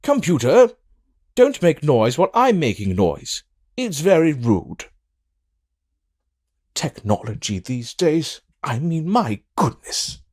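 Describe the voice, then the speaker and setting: A man's voice: fairly high pitch, sing-song, slow pace, long pauses, darkish tone, full character voice. A man voicing a flamboyant, smug villain with a theatrical, drawling, mock-aggrieved delivery for a hobby audio drama. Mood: mock indignation. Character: flamboyant, smug, theatrical male villain scheming world destruction. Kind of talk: hobby audio drama acting with in-character outtake banter.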